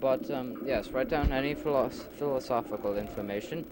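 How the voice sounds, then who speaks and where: An earnest leader played by a man patiently lays out how a new society works, in formal but halting phrases. The voice sits at an ordinary male pitch. It comes from an amateur audio drama, on a homemade recording thick with noise. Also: close to an everyday voice. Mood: earnest, explanatory. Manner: halting, explanatory, formal wording with many hesitations.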